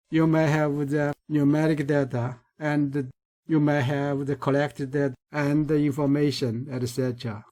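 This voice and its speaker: A man with a Chinese accent, a native Mandarin speaker, giving a recorded lecture in a careful, deliberate way. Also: a little high, darkish tone. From China. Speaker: researcher.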